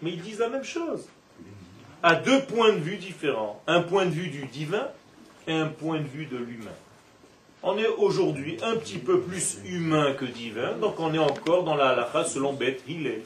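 A man giving an animated Torah class with playful asides, recorded from a distance in a roomy classroom. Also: on the high side, normal speed, a little hiss or hum. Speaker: Torah teacher. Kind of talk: religious class lecture. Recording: echoey room, from far off.

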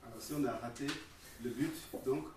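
A man in a class asking the teacher hesitant, halting questions. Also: medium pitch, dark tone. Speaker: student. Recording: distant voice off-mic.

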